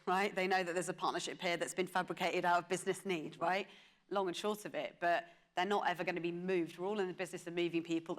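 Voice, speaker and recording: A woman speaks on a conference panel in a slightly low voice. She talks very quickly, in an emphatic, conversational way, like someone explaining her work. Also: a bit bright. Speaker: radio station executive on a conference panel. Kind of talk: panel discussion talk.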